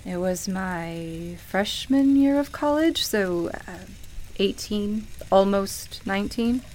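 A woman's voice: medium pitch, lively intonation, slow pace, darkish tone, slight background noise. An actress in a radio play playing a sincere, wistful woman who reminisces hesitantly about her life. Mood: sad, guilty. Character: wistful woman grieving her beloved grandmother at Christmas. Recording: music playing behind the voice. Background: music.

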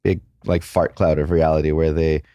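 A man's voice: rumbling low, little pitch movement, normal speed, dark tone, no room sound, dull audio. A man, a plastic surgeon, speaking candidly in a casual interview, blunt with crude jokes.

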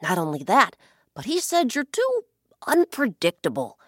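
A woman's voice: on the high side, huge pitch swings, moderate pace, a bit bright, no room sound, clean studio sound, exaggerated voice. A woman voicing a scheming, mischievous male blackbird in a children's story, talking in a sly, conspiratorial way.

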